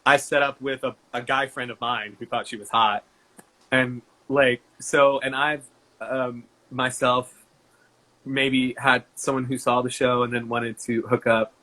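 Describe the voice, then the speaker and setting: A man chatting as a guest, loose and joking, trailing off into fillers and restarting his sentences. His pitch sits in an ordinary middle range. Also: little pitch movement, measured pace, pushed and bright, rough recording. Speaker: guest performer. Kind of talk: casual interview chat.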